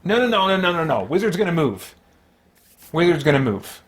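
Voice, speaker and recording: A man's voice: fairly high pitch, sing-song, brisk. A man hosting a solo tabletop game, casually narrating his moves and talking himself through rules and choices. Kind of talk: casual play-by-play, thinking aloud.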